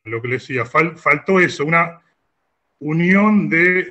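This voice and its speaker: A middle-aged man speaking off the cuff on an online panel in halting, broken-up sentences. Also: fairly high pitch, lively intonation, bright, forceful tone, dull audio. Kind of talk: unscripted panel talk. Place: indoor room, video call.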